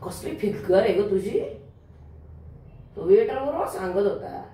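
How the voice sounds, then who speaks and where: A woman's voice: medium pitch, lively intonation, a little slow, warm tone, a little hiss or hum, close to an everyday voice. Woman acting swaggering lecherous businessman, echoey hall. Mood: leering. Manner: leering, swaggering, colloquial. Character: lecherous, boastful middle-aged businessman father, crude and bullying. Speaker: stage actor in a one-act play competition. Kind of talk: theatrical stage monologue acting. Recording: echoey hall, distant microphone.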